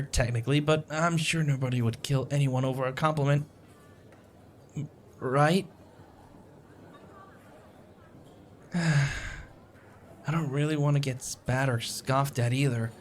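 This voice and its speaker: A man's voice: mid-range voice, slight background noise, lightly acted. A man acting a shy, anxious, sweet young stranger in an audio roleplay, rambling with hesitant stammers and self-deprecating apologies. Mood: nervous. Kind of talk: audio roleplay, intimate one-sided conversation. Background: coffee shop ambience.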